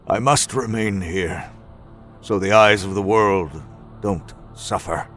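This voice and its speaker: An actor playing a reclusive, self-loathing man in a crumbling apartment, narrating his life in a slow, brooding voice. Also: low-pitched. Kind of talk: first-person audio drama narration. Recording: music score underneath.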